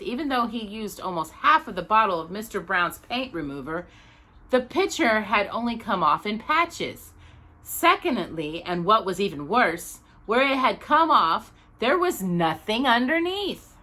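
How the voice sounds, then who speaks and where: A woman reads a children's storybook aloud as a storytime host. Her voice is bright and slightly husky, with very lively intonation and dramatic emphasis on key words. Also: mid-range voice, a little slow. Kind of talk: expressive children's storybook narration.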